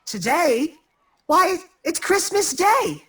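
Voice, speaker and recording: A woman's voice: very high-pitched, very animated, slow pace, a bit bright, heavily acted. A woman playing an eager, polite young street boy in a radio play, chirpy and deferential.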